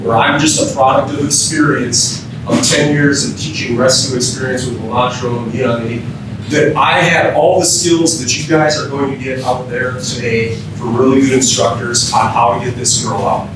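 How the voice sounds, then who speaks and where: A man's voice: fairly even intonation, normal speed. An American man giving an informal training lecture as a keynote speaker, often quizzing his audience with questions. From Minnesota, United States. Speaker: emergency physician, keynote speaker. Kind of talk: interactive training lecture to firefighters. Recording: very echoey room, distant mic, rumbling noise.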